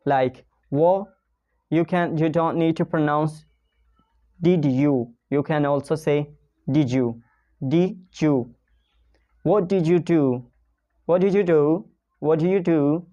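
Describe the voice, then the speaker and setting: A slow-speaking teacher with a Pakistani accent walks through pronunciation examples, repeating each phrase and checking in often. The voice is mellow and smooth. The recording sounds dull and lo-fi. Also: medium pitch. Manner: non-native English, repeats examples, checks in with "okay?". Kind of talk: casual online pronunciation lesson.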